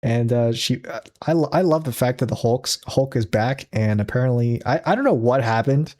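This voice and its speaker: A man with a mellow, darker voice chats casually as a podcast co-host. He rambles through his points with plenty of "uh" and "like" and laughs often. His pitch sits in an ordinary middle range. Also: normal speed. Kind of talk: casual chat.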